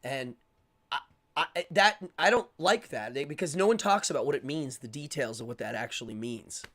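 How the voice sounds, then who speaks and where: A man hosting a live stream, talking casually and opinionatedly as he answers chat, rambling and repeating words in quick bursts. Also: mid-range voice, animated, fairly bright tone. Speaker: live-stream show host.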